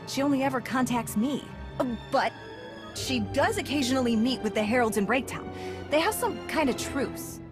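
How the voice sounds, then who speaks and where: A woman as a high-voiced, sarcastic informant. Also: normal speed, music in the background, close to an everyday voice. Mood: nervous evasion. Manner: sarcastic, hedging. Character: wry, evasive female underworld informant. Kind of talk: video game voice acting.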